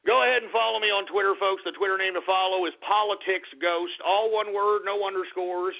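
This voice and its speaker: High pitched guy ranting on call-in radio, swears a lot, sounds like it's through a phone. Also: fairly even intonation, a little fast, vocal fry. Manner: angry, profane, ranting. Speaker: radio show host. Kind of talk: call-in talk radio rant. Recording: tinny lo-fi radio audio.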